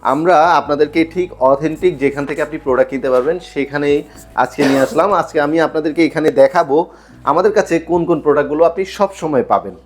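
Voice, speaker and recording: A man's voice: fairly high pitch, fast. Bangladeshi man presenting products. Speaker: shop presenter selling sound equipment. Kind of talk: informal product showcase to viewers.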